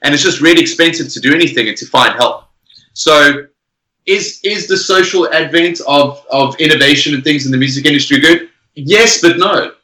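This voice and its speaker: A man with a strikingly bright, forceful voice, chatting energetically about music. He keeps checking in with his listener as he talks. The sound comes from an echoey room. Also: fairly high pitch, moderate pace. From South Africa. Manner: energetic, often tags "right?". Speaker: podcast guest musician. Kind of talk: casual chat.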